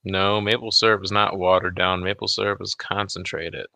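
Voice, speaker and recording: A man's voice: low-pitched, little pitch movement, moderate pace, creaky, bright, forceful tone, no room sound. A man in casual chat, talking in blunt, sweary banter. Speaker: software developer, show guest.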